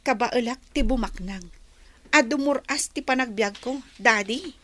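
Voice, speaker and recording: A woman's voice: a little high, a little slow, sharp tone. A woman playing a defiant, jealous, ambitious young daughter in an audio drama, petulant and emphatic. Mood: determined, resentful.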